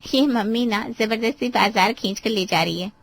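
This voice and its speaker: A woman's voice: a little high, moderate pace, gravelly, no echo. A woman playing a sweet, pampered young married daughter who mildly protests, in a dramatized family story.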